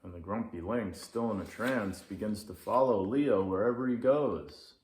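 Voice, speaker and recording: A man's voice: lowish voice, moderate pace, a little mellow. A man narrating a children's story as a storyteller. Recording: roomy echo.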